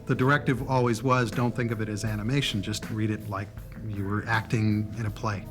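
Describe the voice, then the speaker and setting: A man's voice: on the low side, flat delivery, fairly quick, over music. A man, a producer, looking back in a documentary interview.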